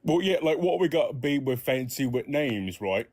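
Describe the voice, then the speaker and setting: A man's voice: mid-range voice, expressive, moderate pace, darkish tone, no echo, clean studio sound, natural voice. A man voicing a folksy, easily offended male Martian fire yeti with a Southern US accent, rambling in a comedy podcast. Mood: grumbling. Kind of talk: comedic improv character.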